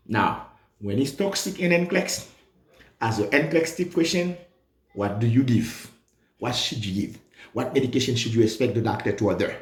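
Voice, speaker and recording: Guy tutoring for a nursing exam, lively pitch, slow deliberate pace, keeps repeating key points. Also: reverberant. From Haiti. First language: Haitian Creole. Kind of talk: lively exam review lesson.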